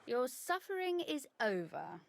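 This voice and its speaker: A woman's voice: sits high, big pitch swings, a little slow, gravelly, exaggerated voice. An actress playing a teasing, flirtatious medieval lady in a video game, speaking in a coy, playful way. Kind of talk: video game dialogue acting.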